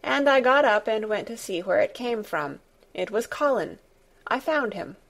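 A frank young girl from a storybook, voiced by a woman, pitched up from the narration. She is determined and curious, and speaks in a direct, matter-of-fact way. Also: lively intonation, normal speed, creaky. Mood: earnest.

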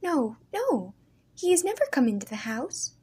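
A woman voicing a timid, gentle young girl who speaks high and breathless. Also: sing-song, normal speed, breathy edge, full character voice. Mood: shy.

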